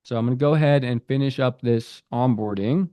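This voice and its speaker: A man's voice: mid-range voice, brisk, warm tone, clean tone, dry recording. Dry-voiced man talking through an app. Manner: conversational, reads app prompts aloud and comments. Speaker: app developer reviewing an app. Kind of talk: casual screen-recorded app walkthrough.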